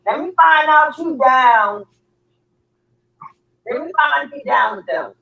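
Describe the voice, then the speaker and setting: A woman with a hoarse, raspy voice hosting a casual livestream chat. She laughs often and keeps repeating her words. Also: sits high, animated, slow pace, phone-quality sound. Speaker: livestream host.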